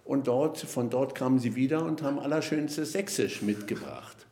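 A man, a linguist, explaining at length in a podcast interview, measured and lecturing, with frequent hesitation fillers. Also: mid-range voice, creaky, warm tone, roomy sound. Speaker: linguist guest.

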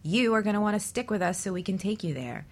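Female talk radio host, medium pitch, presenting semi-scripted segments in a coaching role. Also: animated, fast talker.

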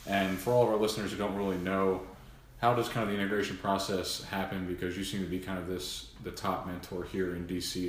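Brief: low, monotone male co-host, hesitant phrasing, echoey room with faint hiss. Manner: searching, hesitant phrasing with restarts. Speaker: podcast co-host. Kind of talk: casual podcast interview.

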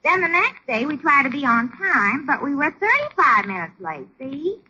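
An American actress playing a scatterbrained, cheerfully illogical wife in a 1940s radio sitcom, explaining things with naive earnestness. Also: female voice, high voice, sing-song, moderate pace, sharp tone, heavily acted. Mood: earnest, muddled. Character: scatterbrained, cheerfully illogical married woman bus driver. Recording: dull, noisy old radio recording.

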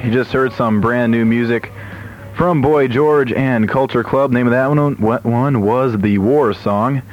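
A man's voice: medium pitch, measured pace, lo-fi sound, soft background music. A man working as a radio DJ, chatting between records in a studio, on an old AM aircheck recording. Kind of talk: radio music DJ chat. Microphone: studio broadcast microphone.

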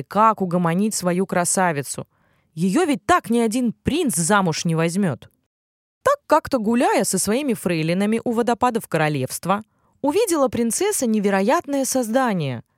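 Animated woman reading a fairy tale. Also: medium pitch, no room sound, studio quality. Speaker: storyteller narrating a children's fairy tale. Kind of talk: expressive scripted fairy-tale narration.

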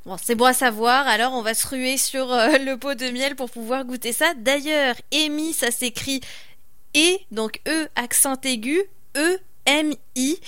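A woman's voice: high-pitched, measured pace, fairly bright tone, smooth, dry recording, studio quality. A woman hosting an interview, encouraging her guest and affirming with frequent murmurs. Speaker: interview host.

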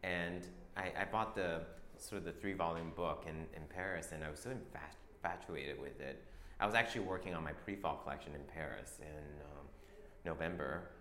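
Low-voiced man in an echoey room. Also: slight background noise. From Taiwan. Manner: soft-spoken, halting, many restarts and fillers. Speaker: fashion designer. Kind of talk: interview answers. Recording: echoey room with faint ambience.

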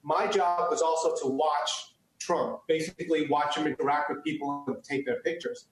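Male interview guest, native Chinese speaker, earnest with frequent restarts, slightly high voice, very echoey room. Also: steady tone, normal speed. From United States. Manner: earnest, many restarts and fillers. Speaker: guest, photographer. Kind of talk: interview answers.

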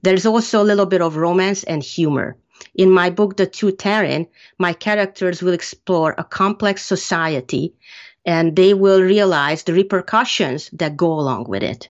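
A woman from Hungary, an author answering interview questions in a measured, earnest way. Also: lowish voice. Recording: muffled lo-fi sound.

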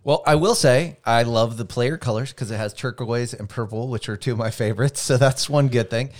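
A man co-hosting a casual board game review chat, giving relaxed, opinionated comments. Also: mid-range voice, brisk. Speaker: board game podcast co-host.

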